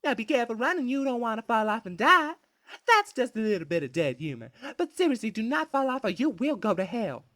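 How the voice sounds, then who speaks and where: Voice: medium pitch, very animated, fairly quick, fairly bright tone, dry recording, clean studio sound. A performer voicing a folksy, easygoing afterlife guide who talks in a chatty, teasing way in an anime parody dub.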